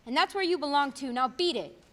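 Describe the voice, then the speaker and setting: Young woman as high-voiced hostile suspicious mother. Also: expressive, a little fast, very noisy. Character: hostile, suspicious mother turning a stranger away. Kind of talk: filmed radio play acting, high school production.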